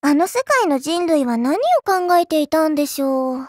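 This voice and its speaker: Woman voicing a very high-pitched little AI girl. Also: normal speed, a little mellow, dry recording, studio quality, lightly acted. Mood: smug, appraising. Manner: polite, prim, appraising. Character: sweet, polite, smug small girl AI servant. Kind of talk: anime mobile game character voice lines.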